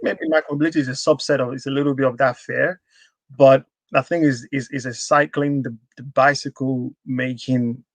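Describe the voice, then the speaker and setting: A man's voice: medium pitch, fairly even intonation, moderate pace, dry recording. A man hosting a live stream talk show, answering in a thoughtful, agreeable way. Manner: thoughtful, agreeable, backchannels a lot. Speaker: host. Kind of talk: casual live stream chat.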